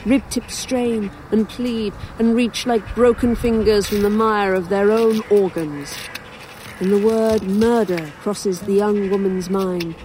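A woman's voice: medium pitch, fairly even intonation, slow, mellow, lightly acted. A woman playing a weary, sardonic young writer in an audio drama, with a dry manner. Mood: grim, literary. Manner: dry, sarcastic, hesitant. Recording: faintly noisy outdoor sound bed. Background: crows cawing, outdoor ambience.

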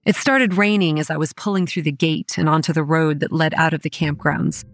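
A woman's voice: low-pitched, a little fast, no room sound. A young woman acting a wry, weary campground manager narrating a horror audio drama in a dry, exasperated way. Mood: uneasy, brooding. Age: young adult. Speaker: narrator and lead character.

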